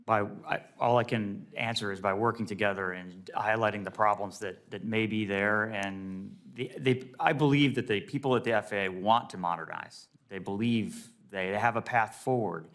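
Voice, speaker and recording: A man answering as a witness at a hearing, unscripted and plain-spoken, with a halting delivery. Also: on the low side, fairly bright tone. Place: committee hearing room.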